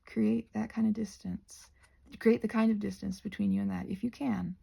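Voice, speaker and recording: A woman rambling casually to viewers as she crafts, in a halting, digressive way that often doubles back on itself. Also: mid-range voice, fairly even intonation, gravelly, dark tone, breathy edge. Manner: halting, digressive, self-interrupting. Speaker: craft and ASMR video creator.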